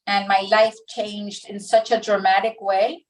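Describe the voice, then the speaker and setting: Distant middle-aged woman, halting storyteller. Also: mid-range voice, flat delivery. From Dominican Republic. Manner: halting, many restarts and pauses. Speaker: interview guest. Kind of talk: reflective personal storytelling.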